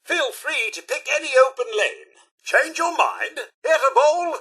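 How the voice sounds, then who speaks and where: An actor playing a prim, cheery, sardonic British robot butler in a video game, with a fussy, over-polite manner and dry sarcasm. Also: male voice, extremely high pitch, very animated, a little slow, pushed and bright, exaggerated voice. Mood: courteous service. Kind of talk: video game companion voice lines.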